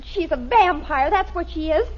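An actress playing a melodramatic, indignant teenage daughter in a 1950s radio sitcom. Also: female voice, very high-pitched, expressive, moderate pace. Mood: dramatic, bitter. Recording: muffled, noisy vintage radio recording.

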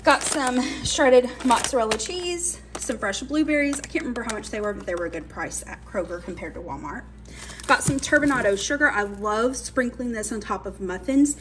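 A woman's voice: a little high, a bit bright, slight background noise. A young adult woman, chatting casually through a grocery haul in her home kitchen, with lots of fillers. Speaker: cooking channel host.

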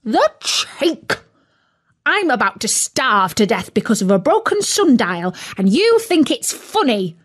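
A woman's voice: a little high, sing-song, measured pace, breathy edge, voice pushed up, full character voice. A woman voicing an impatient, hungry male turkey who complains indignantly.

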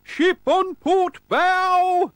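A man voicing rough, excitable pirate crewmen, crying out with drawn-out words in a storybook reading. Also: very high voice, no echo, voice pushed up, exaggerated voice. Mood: urgent.

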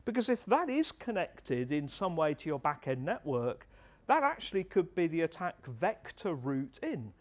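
Middle-aged male presenter, a touch high-pitched, conversational and earnest on a handheld mic in a large event hall. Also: sing-song, normal speed. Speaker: speaker at a tech meetup. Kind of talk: conference talk.

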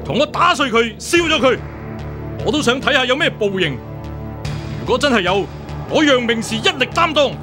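A man with a Hong Kong Cantonese accent playing a stern, righteous imperial examiner in a radio drama, forceful and oratorical. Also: very high-pitched, expressive, normal speed, long pauses, over music. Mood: indignant. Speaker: actor.

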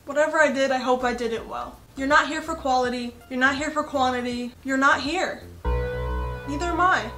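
A woman's voice: sits high, moderate pace, a bit bright, soft background music. A young woman talking straight to camera from a small bedroom, chatty and self-mocking, with a giggly edge. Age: young adult. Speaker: comedy YouTuber. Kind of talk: casual chat to camera.

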